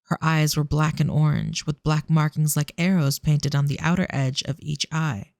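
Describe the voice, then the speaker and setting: A narrator reading a scripted audio drama in a measured, descriptive storytelling manner. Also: mid-range voice, flat delivery, gravelly, a little mellow, dry recording, clean studio sound.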